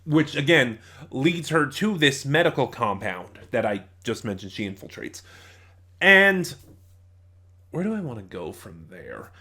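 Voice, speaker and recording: A man's voice: medium pitch, sing-song, unhurried, bright, forceful tone. A man giving a casual, rambling review as a reviewer, opinionated but often hesitant and digressive.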